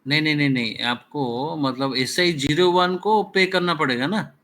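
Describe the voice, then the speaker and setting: Bright, slightly high Indian male student. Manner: repeats short acknowledgements in quick strings. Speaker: student in online class. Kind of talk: casual responses in a lesson.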